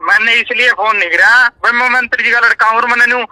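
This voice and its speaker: A man's voice: very high-pitched, fast, a crowd in the background. A young man with a Haryanvi accent, defensive and often interrupting in an argument over a recorded phone call.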